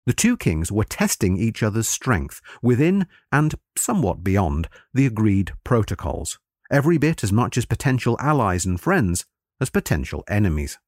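Man lecturing with a lively, varied pitch. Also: no echo, clean studio sound. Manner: formal, measured, scholarly phrasing. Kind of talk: scripted historical lecture narration.

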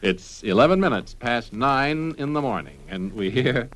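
A man playing a pompous, portentous male announcer, measured and drawn out, in a parody of old-time radio serials. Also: medium pitch, animated, a bit bright, close to an everyday voice. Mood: grandly solemn.

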